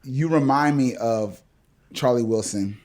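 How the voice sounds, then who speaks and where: A man's voice: mid-range voice, measured pace, a little mellow. A man hosting a podcast, talking in a casual conversational style. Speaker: podcast host. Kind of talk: casual chat.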